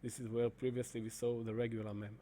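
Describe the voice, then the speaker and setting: Halting man with a mellow voice. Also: medium pitch, flat delivery, a little hiss or hum. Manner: halting, many fillers and restarts. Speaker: presenter demonstrating software. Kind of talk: screen-recorded explanation.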